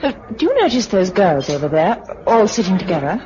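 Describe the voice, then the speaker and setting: A woman's voice: mid-range voice, sing-song, a little fast, crowd noise, natural voice. An actress with a British accent playing a wry, self-possessed woman novelist in 1950s radio drama style. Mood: wry, observant. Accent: British English. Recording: muffled, noisy 1950s radio recording.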